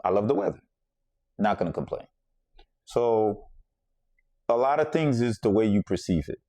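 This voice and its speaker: A man's voice: on the low side, lively intonation, moderate pace, dark tone, no echo. A man from the Bahamas hosting a podcast, telling a personal story in a reflective, conversational way and circling back to repeat his points. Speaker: podcast host.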